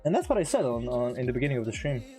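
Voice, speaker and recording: A man's voice: mid-range voice, big pitch swings, rapid-fire, a little mellow. A man with English as his second language, chatting casually on a live trading stream, laughing often and reading chat aloud. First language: not English. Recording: faint music behind.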